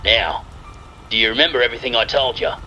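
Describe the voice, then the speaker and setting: A man voicing a gruff, wisecracking uncle with folksy, slangy talk in a horror story. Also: mid-range voice, expressive, quick pace, sharp tone, dull audio, soft background music. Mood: bossy, eager. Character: gruff, wisecracking uncle handing out Halloween candy. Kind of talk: acted dialogue in a horror story.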